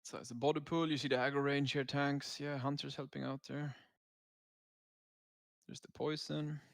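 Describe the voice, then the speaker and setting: A man's voice: mid-range voice, little pitch movement, moderate pace, gravelly, no echo, clean studio sound. A man giving casual, laid-back gameplay commentary as a raid leader, explaining tactics as he goes.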